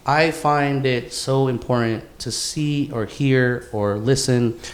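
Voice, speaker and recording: A man hosting a podcast in a casual chat between friends, rambling and swearing freely, quick to laugh. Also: mid-range voice. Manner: rambling, profane, laughing easily. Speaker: podcast host.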